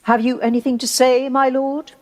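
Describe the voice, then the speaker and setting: A woman playing a grave, gently zealous medieval Inquisitor in a radio play, speaking in measured, solemn rhetoric. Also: high voice, flat delivery, close to an everyday voice. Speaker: actor. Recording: reverberant hall-like live recording from home.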